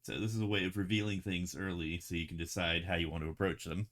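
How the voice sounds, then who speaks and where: A man streaming live, chatting casually in a relaxed, rambling way while he paints models, laughing often. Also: sits low, fast talker.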